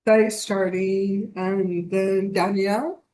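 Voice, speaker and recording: A woman's voice: medium pitch, flat delivery, slow pace, dark tone. A woman hosting an online meeting, calling on each participant in turn and stumbling over a few names. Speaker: host. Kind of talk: online meeting roll call. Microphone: video call.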